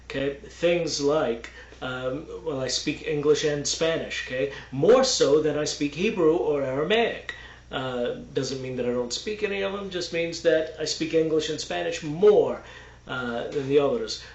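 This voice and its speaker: A man raised in Texas, speaking unscripted to the camera, halting with many fillers and restarts as he explains. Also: on the high side, echoey room, lo-fi sound. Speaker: religious commentator. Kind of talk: unscripted video monologue.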